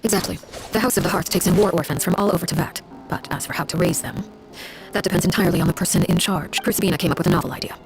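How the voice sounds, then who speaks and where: A woman acts out a cold, self-possessed commander from a fantasy video game. Her low voice has a creaky edge, and she tells grim tales in formal, unsentimental phrases. Soft music plays behind her. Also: natural voice. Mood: grim, detached. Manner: measured, formal, unsentimental storytelling. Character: cold, composed woman, a Harbinger telling her grim past. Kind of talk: scripted dramatic game dialogue.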